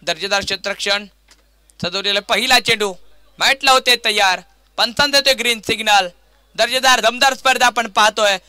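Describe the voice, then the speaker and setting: High, bright-voiced Maharashtrian man commentating cricket. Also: moderate pace, smooth, dry recording. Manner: grandiose, dramatic phrasing with repeated stock lines. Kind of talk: live play-by-play sports commentary.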